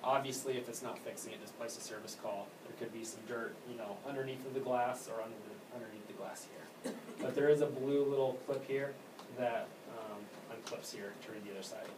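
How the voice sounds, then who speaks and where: A man leads a casual hands-on training session as a technician. He explains things haltingly, in an everyday way, and tends to trail off. The recording is distant and echoey, with clear noise behind him. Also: medium pitch, steady tone. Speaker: copier technician giving staff training. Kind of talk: informal hands-on product training. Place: indoor room at an elementary school.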